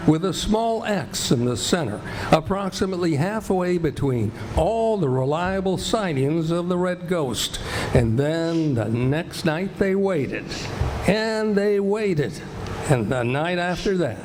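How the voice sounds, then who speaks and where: A man's voice: a little high, animated, takes it slowly, a little mellow. A man acting as the storytelling narrator of a Western radio tale, with a scripted delivery. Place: live performance in a community center. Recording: noisy live stage recording with hum.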